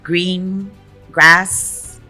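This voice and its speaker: A woman from Ghana, a podcast guest talking in a lively, emphatic way and laughing often. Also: fairly low pitch, extremely slow, soft background music. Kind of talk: conversational interview.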